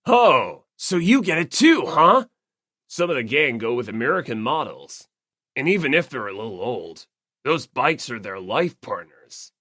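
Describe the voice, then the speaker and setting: A performer voicing a brash, gruff teenage male biker gang leader in an audiobook, blunt, proud and slangy. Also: medium pitch, big pitch swings, measured pace, fairly bright tone, no room sound, studio quality. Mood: enthusiastic. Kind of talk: audiobook character acting.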